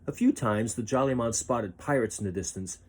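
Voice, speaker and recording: A man reading a children's picture book aloud as a storyteller, with expressive, deliberate phrasing. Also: mid-range voice.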